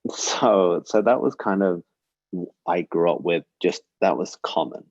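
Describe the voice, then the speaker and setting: A man's voice: low-pitched, measured pace, a little mellow, dry recording, lo-fi sound. A man in his forties giving an earnest, reflective personal talk, with frequent ums and uhs. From Britain. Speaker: guest speaker. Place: synagogue livestream.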